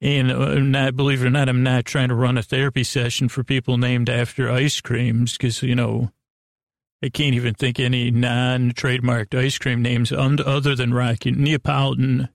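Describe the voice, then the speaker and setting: A man hosting a podcast with a silly improvised monologue to an imaginary guest, halting and stammering as he meanders and restarts in mock earnest. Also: medium pitch, little pitch movement, gravelly, dry recording, clean studio sound. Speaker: podcast host.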